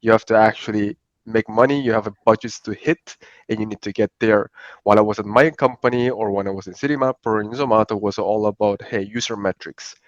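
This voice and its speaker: A man from Italy, a podcast guest, talking in a relaxed, rambling way with many fillers. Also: fairly low pitch, a little fast, no room sound. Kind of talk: conversational podcast interview. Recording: dry, dull lo-fi sound.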